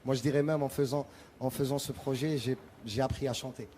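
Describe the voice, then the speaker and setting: A man's voice: medium pitch, steady tone, brisk, warm tone, a little hiss or hum. A male singer whose first language is Arabic, answering TV interview questions hesitantly with many restarts and repeated words. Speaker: singer, guest.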